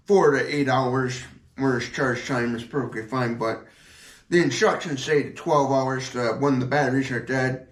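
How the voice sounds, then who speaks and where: A man giving a casual product demonstration in a halting, repetitive way, explaining as he goes. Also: medium pitch, a bit bright. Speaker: product reviewer. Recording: echoey room.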